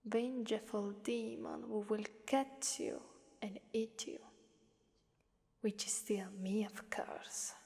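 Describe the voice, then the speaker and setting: A woman's voice: medium pitch, darkish tone. A woman voicing a seductive, taunting Krampus demoness in an ASMR roleplay, half-whispering with playful menace. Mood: threatening, amused. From Italy. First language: Italian. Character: seductive, taunting female Krampus demon ruling a fighting arena. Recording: long cavernous reverb.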